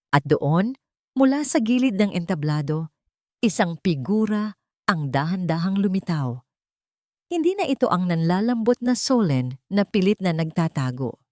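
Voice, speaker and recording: A woman with a Filipino accent narrates a scripted audio drama in a measured, solemn, theatrical way. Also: on the low side, darkish tone, no echo, studio quality.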